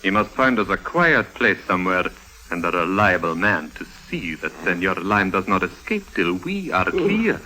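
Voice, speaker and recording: An actor playing a commanding, calculating foreign crook in a 1950s radio drama, speaking formally and deliberately. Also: male voice, low voice, sing-song, bright, forceful tone, heavily acted, poor audio. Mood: coolly scheming. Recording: hissy old 1950s radio recording.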